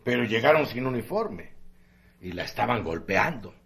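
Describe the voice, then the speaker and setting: A man's voice: medium pitch, sing-song, creaky, fairly bright tone. An actor playing an earthy Mexican barroom man who tells admiring yarns in salty, colloquial radio drama style. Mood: indignant, gossipy. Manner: colloquial, salty, drawn-out storytelling.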